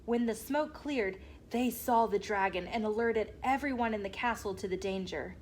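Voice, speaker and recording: A woman reading a children's picture book aloud as an expressive storyteller. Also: medium pitch, normal speed, a little hiss or hum.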